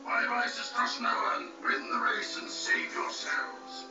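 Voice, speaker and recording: A menacing older crime boss, smug and theatrical, with lively swings in pitch. He sounds distant and echoey, a muffled game recording under loud music. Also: a little slow, full character voice. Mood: sly. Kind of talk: villain monologue in a recorded last message.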